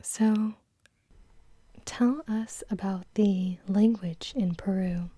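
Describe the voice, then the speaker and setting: A woman hosting a soft-spoken interview, chatty and playful. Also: medium pitch, steady tone, slow pace, breathy edge, no room sound. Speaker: ASMR host interviewer.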